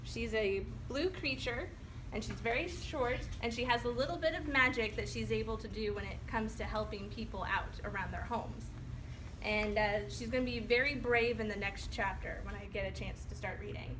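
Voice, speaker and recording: A woman's voice: on the high side, normal speed. A woman, a children's author, talking informally and encouragingly to a group of young children, in a conversational way. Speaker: children's author giving a reading. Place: public library, indoors with a group of children. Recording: noisy room, far from the mic. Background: clear room noise and children.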